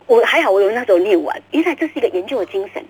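A woman's voice: medium pitch, lively intonation, rapid-fire, slightly rough. A middle-aged woman with a Taiwanese Mandarin accent, explaining things emphatically as the guest author in a radio interview, repeating words and acting out questions. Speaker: guest, children's book author.

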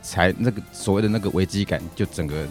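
A man's voice: sits low, quick pace, a little mellow, soft background music. A man with a Taiwanese Mandarin accent co-hosting a casual talk show, often repeating phrases as he thinks aloud. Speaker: radio talk show co-host. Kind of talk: casual conversational discussion.

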